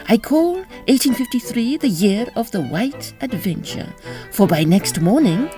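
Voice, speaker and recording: A woman playing a lively, theatrical prima donna on tour in a period farce audio drama. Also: a little high. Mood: wistful storytelling. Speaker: actor and narrator.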